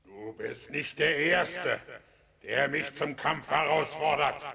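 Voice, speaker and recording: An actor playing a menacing, boastful giant stone knight in a children's radio play, with a gravelly, forceful voice and a slow, taunting delivery. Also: male voice, a little high, crowd noise. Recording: cavernous long reverb.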